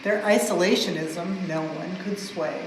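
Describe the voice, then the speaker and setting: A woman's voice: fairly low pitch, a little slow. Woman reading aloud in an echoing hall. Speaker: museum staff member reading a story aloud. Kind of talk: expressive children's storybook reading. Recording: very echoey large hall, some noise.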